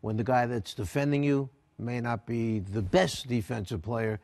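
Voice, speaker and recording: A man in a TV studio breaking down game highlights as an analyst, animated and teasing. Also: normal speed, no echo. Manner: animated, teasing, exclaims at replays.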